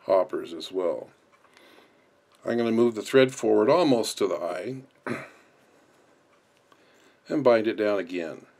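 A man with a low-pitched voice talks through a practical task as an instructor. He explains each step as he goes, in unscripted tutorial narration. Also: darkish tone.

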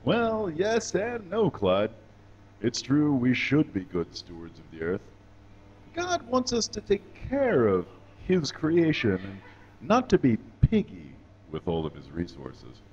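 A man playing a pious, patronising, folksy puppet who lectures deliberately in a mock-sermon at a live comedy show. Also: mid-range voice, huge pitch swings, a little mellow, breathy edge, lo-fi sound, exaggerated voice. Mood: preachy. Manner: preachy, deliberate, drawn-out phrasing. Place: small indoor stage. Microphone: handheld microphone.

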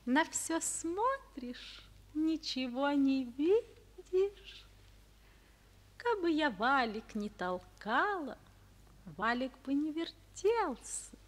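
A woman's voice: high voice, big pitch swings, extremely slow, heavily acted. A woman playing a haughty clockwork spring princess in a 1940s radio play, chanting and scolding in sing-song.

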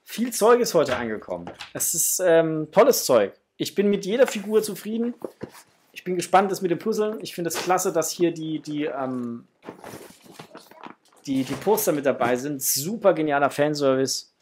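A man's voice: a little high, animated, moderate pace, a little mellow, a little hiss or hum. A middle-aged man hosting a toy unboxing, chatting casually and enthusiastically to his viewers in a gushing way. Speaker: host of a toy collecting channel. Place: small home room indoors.

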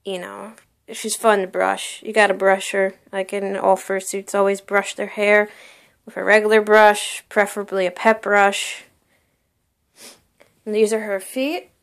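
A woman's voice: mid-range voice, little pitch movement, a little slow, gravelly. A young woman showing off a costume for sale in her bedroom, talking casually and gushing with lots of "like" and "really, really". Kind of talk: casual show-and-tell vlog. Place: bedroom, indoors.

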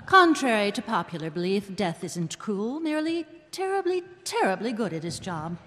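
A woman's voice: medium pitch, sing-song, moderate pace, fairly bright tone. A woman narrating a radio play from a script before a live stage. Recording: reverberant hall with slight noise.

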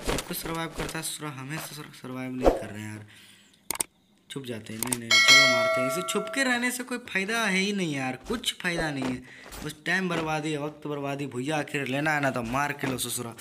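A hoarse young man gives rowdy, joking, trash-talking commentary over his own gameplay, recorded without a proper mic. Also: medium pitch, lively intonation, fairly quick, heavy background noise. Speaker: gaming YouTuber. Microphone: built-in device mic, no external mic.

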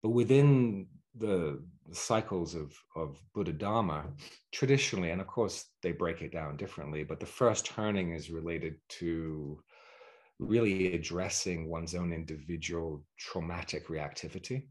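Low-voiced, halting middle-aged man. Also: darkish tone. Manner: halting, searching for words. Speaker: guest, meditation teacher. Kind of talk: reflective explanatory talk. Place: carpeted room at home. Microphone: video call, sitting back from the computer.

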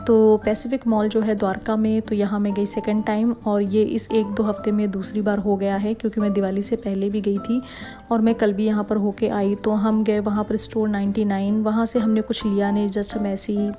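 A young woman with a Rajasthani accent, narrating a cooking vlog and explaining each step calmly. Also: mid-range voice, normal speed, soft background music. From India. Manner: steady explanatory, step-by-step instructions. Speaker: vlogger.

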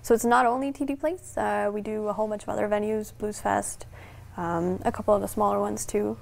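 Female, young adult, interview guest, mid-pitched with a fry-laden tone. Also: steady tone, a little fast. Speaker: volunteer ski patroller, interview guest. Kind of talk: conversational interview answers. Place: TV studio, indoors. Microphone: lapel mic.

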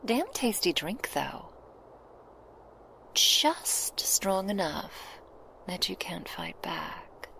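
Female vampire, played by a woman, seductive and possessive; very slow, whispery delivery for close-mic binaural ASMR roleplay. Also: on the low side, full character voice, rough recording. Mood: teasing, menacing. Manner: slow, breathy, teasing whisper. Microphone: close binaural microphone.

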